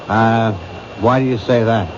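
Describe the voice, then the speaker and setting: An actor playing a skeptical police captain who makes dry, dismissive quips in a 1970s radio drama. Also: male voice, lowish voice, steady tone, unhurried, lightly acted. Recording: dull old radio broadcast, noisy.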